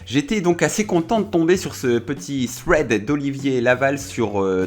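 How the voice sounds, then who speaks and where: A man hosting a casual football video, talking in a chatty way with many fillers and self-corrections. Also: medium pitch.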